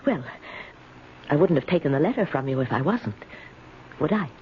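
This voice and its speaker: A woman's voice: low-pitched, animated. An actress playing a frightened, lonely, confused woman who stammers and pleads in a 1970s radio drama. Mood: shaken, insistent. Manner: halting, stammering, tremulous pleading. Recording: dull, noisy 1970s radio recording.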